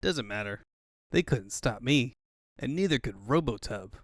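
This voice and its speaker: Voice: mid-range voice, lively intonation, a little slow, dry recording, studio quality. A performer voicing a cocky, flippant young hedgehog hero in a cartoon comic dub. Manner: brash, flippant. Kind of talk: comic fandub acting.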